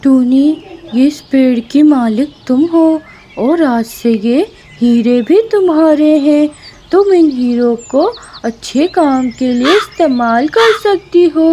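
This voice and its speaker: A woman voicing a mysterious, benevolent spirit of a magic tree in a cartoon, speaking in a solemn, measured way. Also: high-pitched, animated, dark tone, a little hiss or hum. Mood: solemn, kind.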